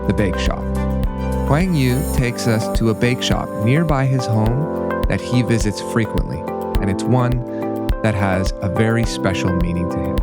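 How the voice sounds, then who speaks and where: A man hosting a radio show, introducing each segment in a steady, announcing manner. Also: lowish voice, unhurried. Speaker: radio host. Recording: voice over loud music bed.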